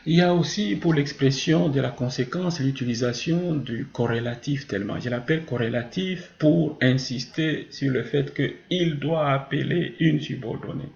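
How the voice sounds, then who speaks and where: A male teacher gives a grammar lesson in a mid-pitched, gravelly voice. He explains didactically, sets out examples and answers his own questions. The sound is roomy and echoing. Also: normal speed, hardly any pauses, darkish tone, muffled recording. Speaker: French teacher.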